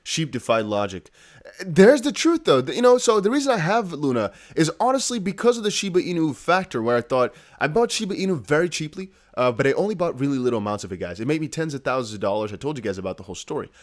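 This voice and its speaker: A man's voice: a little high, very animated, quick pace. A man from the Netherlands with a Dutch accent, chatting to viewers in a casual, emphatic livestream commentary. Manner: chatty, emphatic, repeats points, addresses viewers directly. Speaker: crypto news streamer.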